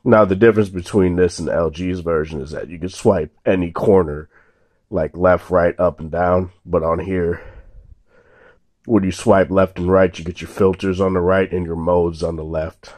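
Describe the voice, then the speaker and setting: Young male tech vlogger, very low voice, slightly sore, casual and rambling, dry room. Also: moderate pace, dry recording. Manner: relaxed, rambling, many fillers and restarts. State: slightly sore voice after illness. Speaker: tech reviewer. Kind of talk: casual hands-on phone review. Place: indoors at a table, off camera.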